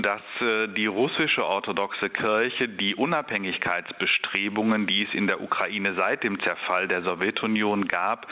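A man, an expert on Orthodox churches, answering radio interview questions in a measured, hesitant way with many fillers. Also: fairly low pitch, pushed and bright, low-quality recording.

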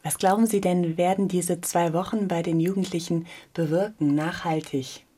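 A woman radio host interviewing guests and moderating the program with polite, measured questions. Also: on the low side, fairly even intonation, warm tone, slightly rough.

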